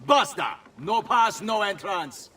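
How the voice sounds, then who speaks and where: An actor playing a gruff, officious harbour gatekeeper with an Italian accent, barking sternly in a game cutscene. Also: male voice, high voice, animated, slow, sharp tone, heavily acted. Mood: stern, indignant. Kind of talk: video game cutscene acting. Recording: distant voice over background noise.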